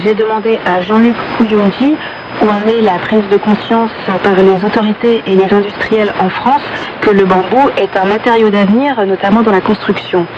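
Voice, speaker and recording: A woman's voice: medium pitch, fairly even intonation, normal speed. A woman narrating a scripted radio report as a reporter, heard over shortwave. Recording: muffled, noisy shortwave radio reception.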